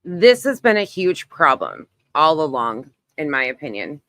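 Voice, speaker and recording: A woman's voice: low-pitched, big pitch swings, unhurried, a bit bright, no echo, clean studio sound. A woman hosting a casual live online commentary, emphatic and repetitive in phrasing, talking through what she does as she browses. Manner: narrates own actions while browsing. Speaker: YouTube creator, host.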